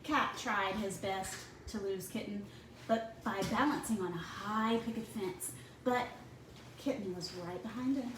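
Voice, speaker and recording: A woman reading a picture book aloud to young children in a deliberate way, pausing for asides to the listeners. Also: mid-range voice. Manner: slow, deliberate phrasing for children. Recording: roomy, distant mic with clear background noise.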